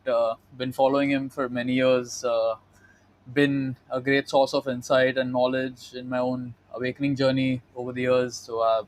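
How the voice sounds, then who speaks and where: A man hosting a podcast, chatting casually with lots of fillers, warmly praising his guest and calling him man and dude. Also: medium pitch, rough recording. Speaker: podcast host. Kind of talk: casual interview chat.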